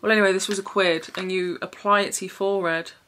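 A young woman chats straight to the camera in a small bedroom, frank and easygoing, with the odd casual swear word. Her voice has a middling pitch. A steady hiss sits under the recording. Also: fast talker. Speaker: vlogger. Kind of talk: casual haul chat to camera.

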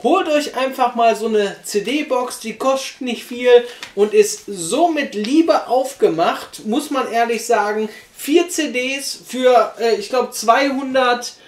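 A man's voice: very high-pitched, takes it slowly. Casual young man in an echoey room. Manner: laid-back, colloquial, enthusiastic. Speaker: host, reviewer. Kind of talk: casual vlog chat. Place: small room on a sofa. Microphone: camera across the room.

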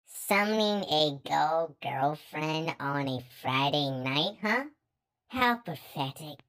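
A woman plays a sarcastic young hellhound girl in a cartoon comic dub. Her voice is sharp and fairly low, with lively swings of pitch. She is blunt and scornful. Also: takes it slowly, full character voice.

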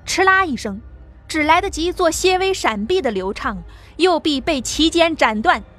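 A woman reading a science fiction novel aloud as an audiobook narrator, in a steady, vivid storytelling manner. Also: high-pitched, normal speed, faint music behind.

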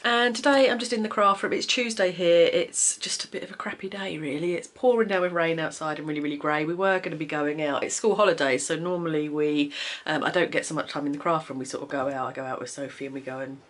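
A low-pitched woman in her forties talks casually, a little sniffly and hoarse-throated from a cold. She rambles in a chatty way, trailing off and restarting. She is recorded on a camera held close in a small home craft room. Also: steady tone, fast. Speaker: craft vlogger. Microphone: camera close to face, then pointed down at the desk.

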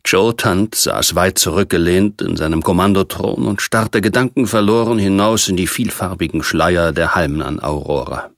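Male audiobook reader, low and creaky, measured science fiction narration. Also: a bit bright, no echo, studio quality.

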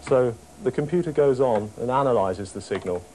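A man's voice: medium pitch, expressive, moderate pace, warm tone, low-quality recording. A young man talking through a technical demonstration as an engineer. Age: young adult. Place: small office workroom with computers. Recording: faint room noise, TV report.

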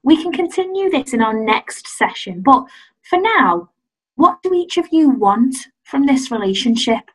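A woman playing a patient, polite couples counsellor in a comic radio play, measured and diplomatic. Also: high-pitched, sing-song, husky, full character voice, rough recording. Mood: soothing, diplomatic.

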